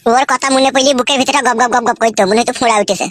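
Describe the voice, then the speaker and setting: A woman's voice: fairly high pitch, fairly even intonation, very fast, fairly bright tone, dry recording, clean studio sound. A woman voicing a stubborn, sulky young village man in a comic cartoon, grumbling and defiant, with a rural West Bengal accent. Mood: defensive. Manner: grumbling, defiant, crude back-talk.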